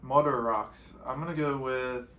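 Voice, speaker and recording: A man's voice: medium pitch, steady tone, a little slow, a bit bright. A young American man co-hosting an off-road vlog, reading out questions and giving practical, matter-of-fact answers in casual chat. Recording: roomy echo with some background noise.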